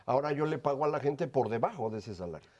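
A man's voice: mid-range voice, lively intonation, fast, darkish tone, highs cut off. A man in his fifties with a Mexican accent, making his case assertively as a television panelist. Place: television studio panel desk. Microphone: lapel.

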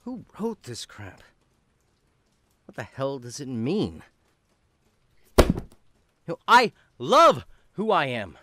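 An actor playing an arrogant, lonely wealthy executive in a radio drama, sneering and bitter. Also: male voice, mid-range voice, huge pitch swings, slow, pauses often, bright, forceful tone, a little hiss or hum, full character voice. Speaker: rich executive character.

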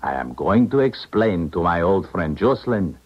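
An actor playing a cool, calculating Eastern Bloc scientist in a 1970s radio drama, formal and deliberate. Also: male voice, low voice, animated. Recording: muffled old radio broadcast.

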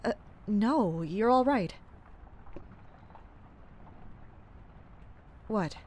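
An actress playing a dutiful woman captain of royal guards in an audio drama, measured, blunt and restrained. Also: medium pitch, lively intonation, darkish tone, a little hiss or hum. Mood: guarded. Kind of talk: audio drama acting with inner monologue.

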